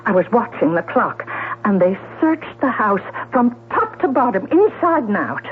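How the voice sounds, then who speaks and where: A woman's voice: on the high side, big pitch swings, moderate pace. An actress playing a frightened, self-doubting woman in a radio drama, hesitating, stammering and repeating herself. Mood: frightened, shaken. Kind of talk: 1970s radio drama acting. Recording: noisy, telephone-like old radio broadcast, hum.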